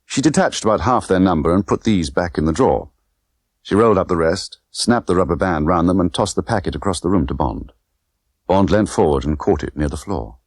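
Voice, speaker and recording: A man's voice: low voice, no room sound, studio quality. A man reading a novel aloud as scripted dramatic narration. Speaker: audiobook narrator.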